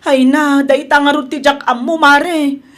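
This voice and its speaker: A flustered Ilocano woman pleads in a very high voice. She sounds evasive and plaintive, full of exclamations, in radio comedy drama style. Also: fairly even intonation, unhurried, a bit bright. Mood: apologetic, plaintive.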